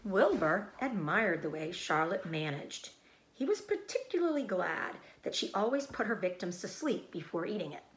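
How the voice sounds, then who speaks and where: Expressive woman reading a children's story. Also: lowish voice, normal speed, slight background noise, low-quality recording. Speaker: teacher reading aloud.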